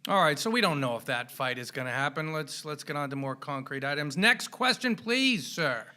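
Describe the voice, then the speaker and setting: A man's voice: on the high side, lively intonation, moderate pace, bright, forceful tone. A man hosting a sports podcast, giving commentary in a punchy, colloquial way with showy flourishes. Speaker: podcast host.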